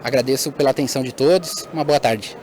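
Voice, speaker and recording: A man's voice: medium pitch, fast, a little mellow, slight background noise. A man presenting his company's monitoring system in a webinar, hesitant with fillers and self-corrections.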